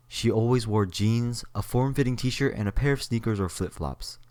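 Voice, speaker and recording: A man's voice: fairly low pitch, a little mellow, no echo. A man reading scripted story narration as the narrator of an audio drama.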